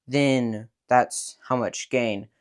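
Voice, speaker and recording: A man's voice: medium pitch, fairly even intonation, very slow, clear, resonant tone. A young man lays out technical radio details at home. He goes step by step and restarts a phrase now and then. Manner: explains step by step with small restarts. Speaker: ham radio operator. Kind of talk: casual technical explanation. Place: room in a home.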